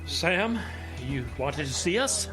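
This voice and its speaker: Man acting anxious, earnest, higher-voiced man. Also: expressive, takes it slowly, over music. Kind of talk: audio drama acting.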